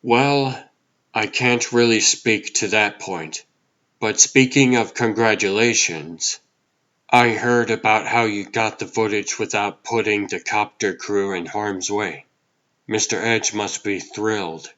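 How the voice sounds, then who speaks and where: A man's voice: fairly low pitch, steady tone, slow pace, a bit bright, lo-fi sound. A man playing a mild, awkward, apologetic reporter with a hesitant manner in a comic book dub.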